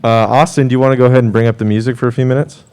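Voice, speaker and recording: A man's voice: medium pitch, steady tone, racing, a little mellow, clean tone. A man hosting a radio show in casual, unscripted chat, with informal and hesitant phrasing. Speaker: host. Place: radio studio.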